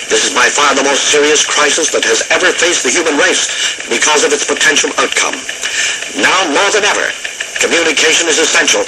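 A man playing a solemn American network news anchor in a radio drama, speaking formally and in measured phrases over a noisy broadcast. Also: on the high side, lightly acted. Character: grave male American network radio news anchor during nuclear war. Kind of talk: scripted radio news bulletin acting.

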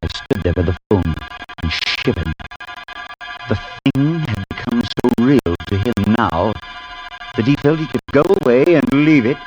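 An actor in a 1940s radio drama playing a sensible, skeptical priest who is also a concerned friend and narrator. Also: male voice, medium pitch, animated, unhurried, natural voice, rough recording. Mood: grave narration. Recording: 1940s radio broadcast with music.

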